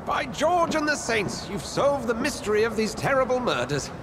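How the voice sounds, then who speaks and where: An actor playing a genial, eloquent doctor in a video game, with a British accent and a formal, theatrical, gentlemanly way of speaking. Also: male voice, very high voice, very animated, moderate pace, background noise. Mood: urgent, helpful. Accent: British English. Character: genial, eloquent English doctor and hospital administrator, enthusiastic. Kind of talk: video game dramatic acting. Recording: loud music behind.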